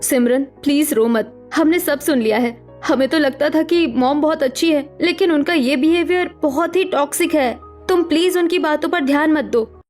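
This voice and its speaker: A woman's voice: high-pitched, moderate pace, darkish tone, faint music behind, natural voice. A woman voicing an outspoken, concerned young daughter-in-law in a cartoon family drama. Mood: comforting.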